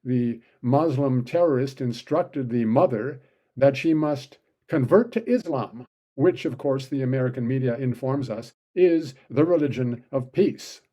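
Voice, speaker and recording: A man's voice: mid-range voice, dark tone. A man delivering an opinion commentary monologue, full of sardonic, sarcastic asides.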